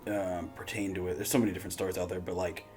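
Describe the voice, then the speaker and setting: A male reaction host with a fairly low voice talks casually and thinks out loud. His speech is slangy and sweary, with faint music behind him. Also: little pitch movement, very fast.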